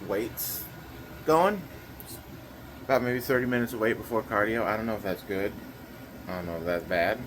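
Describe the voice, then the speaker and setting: A man's voice: on the low side, normal speed, long pauses, fairly bright tone, a little hiss or hum. A young man chatting casually through his daily vlog plans, a little nasal and rambling with lots of fillers. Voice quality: slightly nasal. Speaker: vlogger.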